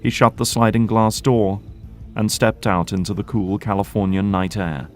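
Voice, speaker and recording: Guy narrating an audio drama, lowish voice, faint music under him. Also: fairly even intonation, moderate pace. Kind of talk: scripted narration.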